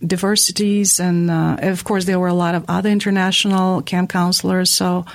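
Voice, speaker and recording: A woman's voice: lowish voice, level tone, moderate pace, vocal fry, dry recording. A woman from Bulgaria with a Bulgarian-British accent talks as an interview guest in a thoughtful, hesitant way, with many fillers. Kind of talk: casual interview.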